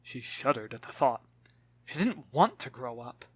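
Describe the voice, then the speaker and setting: A narrator reading a children's novel as expressive audiobook storytelling, dramatic and clearly articulated, with wry asides. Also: medium pitch, measured pace, dry recording. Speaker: author reading own novel.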